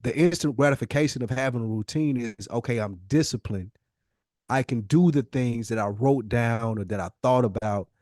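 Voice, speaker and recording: A man's voice: medium pitch, moderate pace, a little mellow, dry recording, clean studio sound. A middle-aged American man talking casually and motivationally as a podcast co-host, emphatic and repeating phrases to drive points home.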